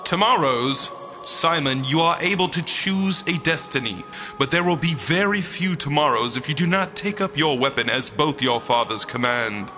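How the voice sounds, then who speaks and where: A man playing a holy being of light in a comic audio drama, booming and declamatory, with flippant, teasing asides. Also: animated, moderate pace, bright, forceful tone. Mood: grand, wry. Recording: music behind the voice.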